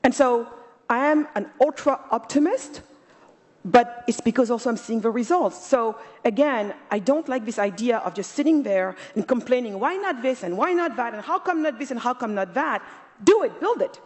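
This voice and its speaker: A woman's voice: on the high side, expressive, moderate pace. Woman from africa on a panel, impassioned and emphatic, big echoey room. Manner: emphatic, motivational. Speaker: entrepreneur and panelist. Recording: echoey hall.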